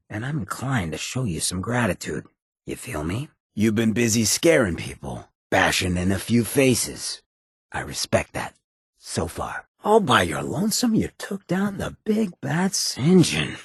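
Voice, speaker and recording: An actor voicing a sly, swaggering outlaw mayor in a video game, with a gravelly rasp and cocky, streetwise, slangy drawl. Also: male voice, low voice, dry recording, studio quality, exaggerated voice. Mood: approving, easygoing. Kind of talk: video game companion dialogue.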